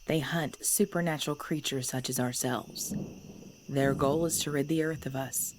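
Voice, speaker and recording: Lady acting as ancient vampire queen, low breathy voice, formal n courtly, asmr roleplay. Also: steady tone, normal speed, noisy background, natural voice. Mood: grave, resolute. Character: centuries-old vampire mistress, female, cold ruler turned protective.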